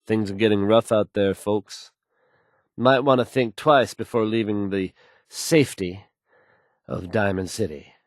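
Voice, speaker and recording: A man playing an assured, low-voiced radio news DJ. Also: fairly even intonation, no echo, studio quality, lightly acted. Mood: grave, cautioning. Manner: assured, measured, folksy announcer delivery. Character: confident smooth male radio news DJ in a post-apocalyptic city. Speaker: radio DJ and newsreader. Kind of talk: scripted radio news bulletin.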